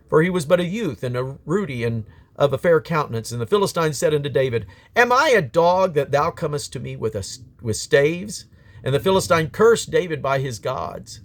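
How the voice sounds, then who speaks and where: A man reading scripture aloud as a Bible reader, in a solemn, emphatic storytelling manner. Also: on the high side.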